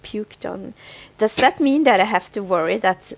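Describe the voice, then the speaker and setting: A woman's voice: medium pitch, animated, moderate pace, vocal fry, rough recording. Giggly woman chatting as podcast co-host. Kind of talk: casual chat on a TV fan podcast.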